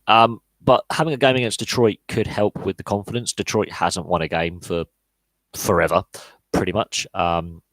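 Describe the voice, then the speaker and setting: A man's voice: low-pitched, dry recording, poor audio. A man in his thirties hosting a live podcast Q&A, talking casually with many fillers and restarts. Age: 30s. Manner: rambling, frequent fillers and restarts. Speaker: podcast host.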